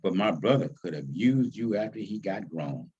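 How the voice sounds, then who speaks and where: A man's voice: low-pitched, animated, moderate pace, a little mellow, lo-fi sound, a little hiss or hum, voice pushed down. A man voicing a friendly, respectful adult visitor, polite and easygoing, in a storybook reading. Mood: reflective.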